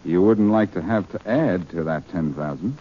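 An actor playing a brash, wisecracking American sleuth in a 1940s radio drama, wry and insistent in manner. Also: male voice, low voice, moderate pace, husky. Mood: pressing, sardonic. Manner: wry, insistent, clipped questioning. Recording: dull, noisy old radio recording.

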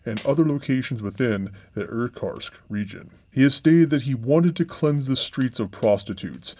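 A man hosting a podcast, reading a scripted true-crime story in a measured, somber way. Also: medium pitch, a little mellow. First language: English. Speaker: podcast host.

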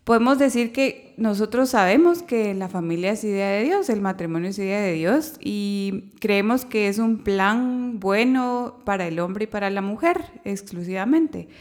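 A woman's voice: medium pitch, expressive, normal speed, few pauses. Woman hosting a faith podcast. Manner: hesitant, many fillers and restarts. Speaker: podcast host.

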